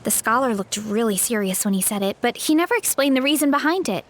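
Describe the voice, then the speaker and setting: A woman's voice: on the high side, lively intonation, fast talker. A woman voicing a cheerful, easygoing young tribeswoman in a video game, laughing easily as she talks. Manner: upbeat, breezy, laughs easily. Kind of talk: game voice acting.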